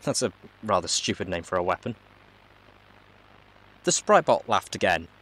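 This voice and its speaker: A man reading fan fiction aloud in an expressive storybook narration style. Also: low voice, normal speed, rough recording.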